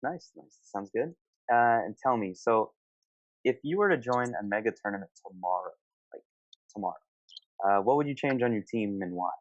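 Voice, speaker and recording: Male panel host, low pitch, casual questioning, affirming, dull lo-fi call audio. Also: lively intonation, normal speed. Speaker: host of a gaming discussion panel. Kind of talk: casual moderated online discussion.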